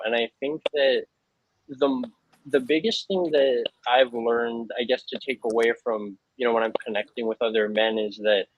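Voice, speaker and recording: A man's voice: mid-range voice, fairly bright tone, no room sound. Man from Hawaii, thoughtful panel guest, lo-fi dry call audio. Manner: earnest, thoughtful, with frequent fillers. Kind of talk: reflective panel talk. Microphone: video call. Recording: dull lo-fi, dry sound.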